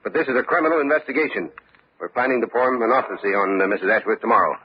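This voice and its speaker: An actor playing a steady, businesslike police lieutenant in a vintage radio mystery, laconic and matter-of-fact. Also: male voice, mid-range voice, very fast, close to an everyday voice. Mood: measured, businesslike. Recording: dull, noisy old radio recording.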